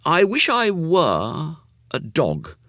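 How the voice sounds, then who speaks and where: Man doing a high, eager young boy. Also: wildly swooping pitch, slow, pushed and bright, no echo, clean studio sound, full character voice.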